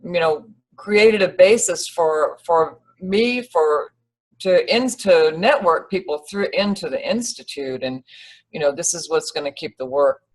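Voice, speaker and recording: A woman's voice: on the low side, lively intonation, unhurried, rough recording. A woman hosting a webinar over a video call, thanking her guest in a meandering way and laughing easily. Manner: warm thanks with many fillers and restarts, laughs easily. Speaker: webinar host. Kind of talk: webinar conversation.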